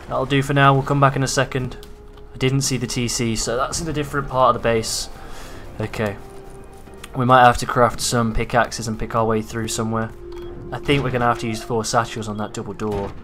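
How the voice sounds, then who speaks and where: A man giving casual gameplay commentary, his voice a little raspy from hours of talking, excitable and swearing freely. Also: medium pitch, normal speed, soft background music. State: slightly raspy from long talking. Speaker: gaming YouTuber.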